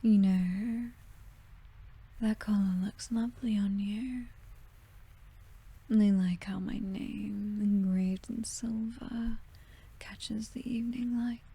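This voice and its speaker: A woman's voice: mid-range voice, husky. A British woman playing a possessive, teasing vampire mistress in an intimate ASMR roleplay, whispering breathily. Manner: slow, teasing, softly commanding.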